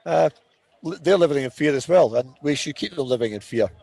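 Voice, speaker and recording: Halting man hosting a live broadcast. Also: a little high, expressive, darkish tone, no room sound, rough recording. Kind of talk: live outdoor commentary, casual talk.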